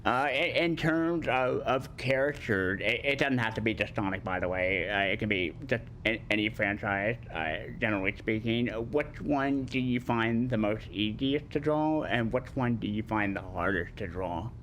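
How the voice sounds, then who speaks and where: A man's voice: lowish voice, moderate pace, hardly any pauses, a bit bright, slight background noise, low-quality recording. A man interviewing a guest, asking questions in a stumbling way, often repeating and correcting words. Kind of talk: casual Q&A interview.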